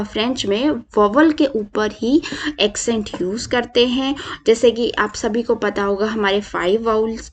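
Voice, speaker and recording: A young woman leads an online lesson in a slightly high voice. She speaks slowly and explains step by step, often pausing on fillers. She moves between Hindi and English as she teaches. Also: a little mellow. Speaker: French language teacher.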